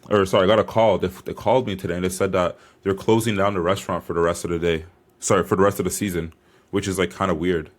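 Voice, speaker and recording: A young man vlogging as a bodybuilder, chatting casually to camera in a hyped, informal, slangy way. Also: deep voice.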